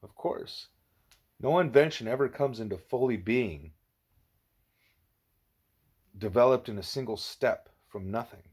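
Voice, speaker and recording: A man's voice: mid-range voice, expressive, a little mellow, husky. Man voicing a wise, patient gorilla teacher. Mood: patient, instructive. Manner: measured, didactic, explanatory.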